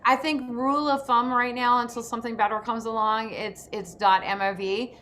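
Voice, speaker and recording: A woman's voice: fairly high pitch, level tone, moderate pace, pushed and bright, slight background noise. A woman hosting a workshop, explaining her advice emphatically as a coach in a live online session. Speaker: panel host and acting coach.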